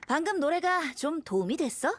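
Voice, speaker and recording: A woman's voice: sits high, big pitch swings, moderate pace, dry recording. A woman voicing a carefree, playful young male bard with a boyish, lyrical delivery in an anime game. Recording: music behind the voice.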